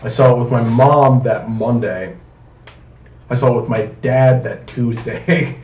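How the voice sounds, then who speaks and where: A man's voice: medium pitch, a little slow, darkish tone. Profane young man, roomy distant mic. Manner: profane, emphatic, slangy. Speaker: podcast participant. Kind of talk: casual chat, fan discussion. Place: echoey room, off the mic. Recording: roomy, distant, dull, faintly noisy.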